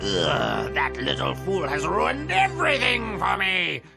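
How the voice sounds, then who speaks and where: A scheming magician from a children's fairy tale cartoon, barks impatiently in a gravelly voice. His delivery is drawn out and high for a man, over loud music. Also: sing-song, takes it slowly, full character voice. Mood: furious, bitter. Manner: barking, exaggerated cartoon villain delivery.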